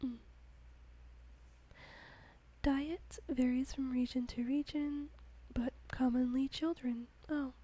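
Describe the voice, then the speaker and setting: A woman reads aloud in a slow, hushed whisper, the way ASMR videos are done. Her tone is soft and mellow, and she drops in little giggles now and then. Her pitch sits fairly high even while she whispers. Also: poor audio. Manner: slow, gentle reading. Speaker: ASMR host.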